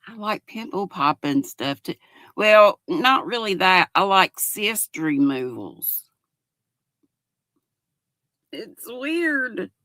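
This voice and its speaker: A woman's voice: mid-range voice, very animated, slow, pauses often, sharp tone, no room sound. An older woman hosting a casual livestream, chatting folksily and giggling as she reads viewer comments aloud. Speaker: livestream host.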